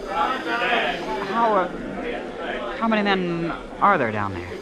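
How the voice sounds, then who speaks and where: A man's voice: sits high, big pitch swings, takes it slowly, a loud crowd, heavily acted, low-quality recording. An actor playing an earnest, bashful small-town young man, hesitant and stammering, in old-time radio drama style. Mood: astonished.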